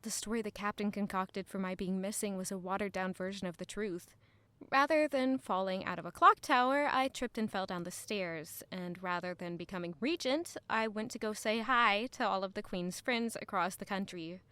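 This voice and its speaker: A woman's voice: medium pitch, normal speed, pushed and bright, clear, resonant tone, dry recording, natural voice. An actress playing a guarded, wistful young lady-in-waiting in an audio drama, speaking earnestly and introspectively. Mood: subdued, wistful. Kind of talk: audio drama narration and dialogue.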